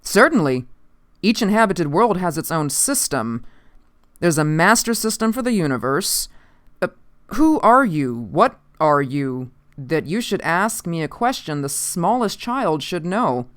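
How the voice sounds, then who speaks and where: A commanding officer , read by an audiobook narrator, brisk and easily made impatient. He speaks formally in clipped, clear phrases, skeptical of what he hears. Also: mid-range voice, big pitch swings, fairly bright tone. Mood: puzzled.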